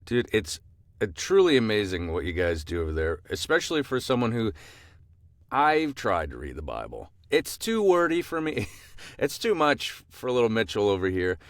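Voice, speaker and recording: A man with a low voice that swings widely in pitch, playful and self-deprecating as he chats with a guest. The sound is dry and close. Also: sing-song, moderate pace, no room sound. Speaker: podcast co-host. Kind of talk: casual chat.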